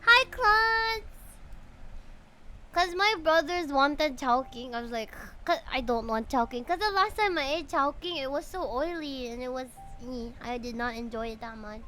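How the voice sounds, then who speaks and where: A woman's voice: sits high, animated, normal speed, pushed and bright, slight background noise. A woman, chatting casually and reading game dialogue aloud on a livestream. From Philippines. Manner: chatty, reacts with exclamations.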